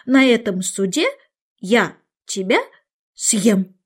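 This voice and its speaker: A predatory old storybook cat, voiced by a woman. It declaims its verse threats with deliberate menace. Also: mid-range voice, husky. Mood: menacing.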